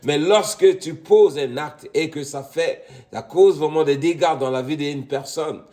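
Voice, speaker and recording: A man's voice: fairly high pitch, measured pace, mellow. A middle-aged man from Congo preaching a sermon, addressing listeners as beloved and punctuating points with amen, rising to emphatic peaks. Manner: repeats "mes bien-aimés" and "Amen", rising to emphatic peaks.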